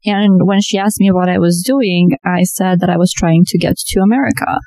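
A woman from Romania, a dietitian, telling her life story in a relaxed interview and laughing often as she talks. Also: lowish voice, normal speed. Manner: laughs often, chatty with self-corrections. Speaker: guest, registered dietitian.

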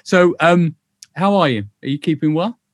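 A man's voice: on the high side, no echo. An older man in his sixties with a Northern English accent, hosting a chatty, rambling interview over a video call. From England. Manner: chatty, rambling, quick to laugh.

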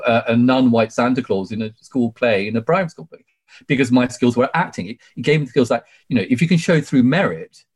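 A man's voice: medium pitch, slightly rough. A British man in his forties telling his story as an interview guest, emphatic and effusive, peppering it with "you know". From UK, born in Sri Lanka. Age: 40s. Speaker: podcast guest, barrister.

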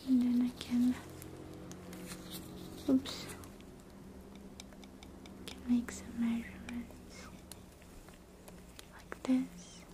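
A woman whispering softly and closely as a doctor in an ASMR roleplay, giving gentle, reassuring bedside talk into a binaural mic. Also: a little high, completely flat delivery, very slow, long pauses. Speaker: ASMR creator role-playing a doctor.

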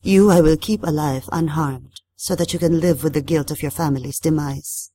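A woman's voice: low voice, measured pace, husky, dry recording. Woman acting a haughty, cruel, desperate madame. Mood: cold threat. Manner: haughty, dramatic, commanding. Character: vain, cruel, imperious cursed madame, desperate and threatening.

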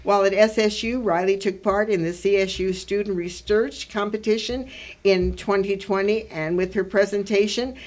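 A woman's voice: mid-range voice, steady tone, normal speed, darkish tone. Effusive older woman hosting a radio interview. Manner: effusive, exclaiming. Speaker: radio show host and interviewer. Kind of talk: chatty live interview. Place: radio studio.

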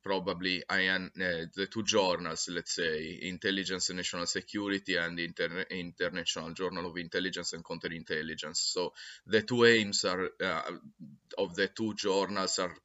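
A man from Italy with an Italian accent, answering interview questions haltingly with many fillers and restarts. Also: deep voice, level tone, bright, forceful tone, lo-fi sound. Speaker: academic guest.

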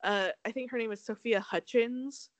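A woman's voice: on the high side, fairly even intonation, normal speed, no echo. A young woman from Connecticut co-hosting a casual gossip chat, blunt and catty, laughing often and filling with ums and likes. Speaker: podcast co-host.

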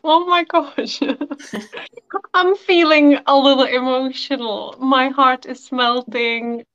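A woman from the Republic, a podcast guest telling stories in a heartfelt, unhurried, reflective way. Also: high-pitched, fairly even intonation, fairly bright tone. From Czech Republic. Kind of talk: warm storytelling chat. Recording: dull dry lo-fi audio.

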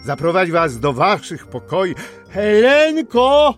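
Period radio drama: male actor as affable, shrewd middle-aged pharmacist, unhurried, animated pitch, faint music behind. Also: full character voice. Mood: satisfied, bargaining. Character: affable, money-minded small-town pharmacist and landlord, father.

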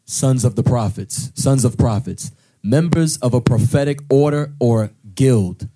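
A man reading scripture aloud at a service in a steady, plain way. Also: mid-range voice, a little slow, low-quality recording. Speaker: scripture reader.

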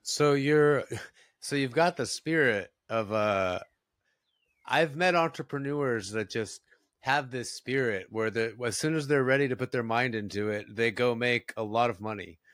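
A man's voice: mid-range voice, measured pace, a bit bright, no room sound, clean studio sound. A man hosting a podcast, talking and asking questions in a casual conversational style. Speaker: podcast host. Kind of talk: casual interview chat.

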